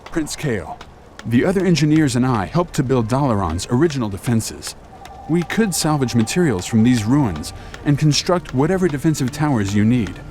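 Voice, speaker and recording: Man playing an animated, earnest elven engineer. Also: medium pitch, normal speed, lightly acted. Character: eager, earnest elven engineer reporting for duty. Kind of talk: dramatic fantasy game acting. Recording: faint background noise.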